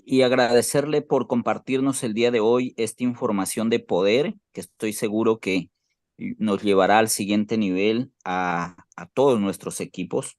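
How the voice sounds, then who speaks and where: Ecuadorian male team leader on a call, formal and deliberate, dry close sound. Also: on the low side, darkish tone, no room sound. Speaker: team leader asking questions. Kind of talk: formal greeting and question on a group call. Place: online group video call.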